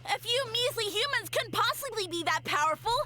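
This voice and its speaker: A woman's voice: very high-pitched, expressive, a little fast, bright, forceful tone, exaggerated voice. A woman voicing a scheming mushroom creature who thinks itself a fallen god, in a game, speaking in grandiose, theatrical villain tones. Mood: flustered. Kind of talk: game voice acting.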